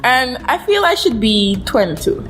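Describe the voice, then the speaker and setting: A woman's voice: a little high, big pitch swings, moderate pace, fairly bright tone, faint music behind. A woman in her twenties co-hosting a podcast in casual chat. She laughs often and rambles playfully. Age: 20s. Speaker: podcast co-host.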